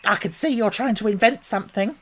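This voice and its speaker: A man voicing a fussy mother pigeon who shrieks and speaks with deep contempt. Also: brisk, a bit bright. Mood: scolding. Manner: shrill, contemptuous, scolding. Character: fussy shrieking female pigeon guarding nest.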